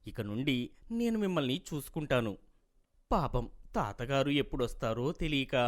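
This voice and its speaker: Kind young village lad, male actor, sincere and respectful, raised pitch, animated delivery for cartoon tale. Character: kind, earnest hardworking young village man.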